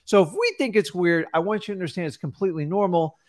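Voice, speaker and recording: A middle-aged man hosting a studio podcast, coaching viewers in a forceful, direct way. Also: sits high, animated, quick pace, no room sound. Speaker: host. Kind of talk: casual podcast coaching talk. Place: studio with backdrop. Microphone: studio mic on boom arm.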